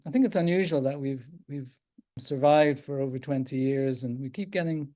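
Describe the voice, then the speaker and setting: An older man with an Irish accent, a psychiatrist speaking as a guest on a video call, thoughtful and halting with frequent restarts. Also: medium pitch. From Ireland. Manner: thoughtful, halting with many restarts and soft laughs. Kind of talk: casual talk on a video call.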